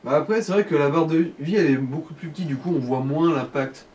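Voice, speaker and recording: A man's voice: on the high side, fast talker, a little mellow. A man chatting casually as co-commentator on a video game let's play. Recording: distant mic, faint background noise.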